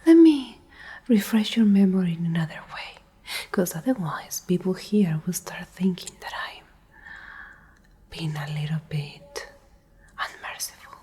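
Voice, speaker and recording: Female performer for menacing post-apocalyptic pack leader, ASMR roleplay. Very husky, airy whisper with a soft, dark tone, condescending and controlled. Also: lowish voice, expressive, heavily acted. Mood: veiled menace. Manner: slow, condescending, coldly threatening. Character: menacing, domineering female Alpha leader of apocalyptic survivor group.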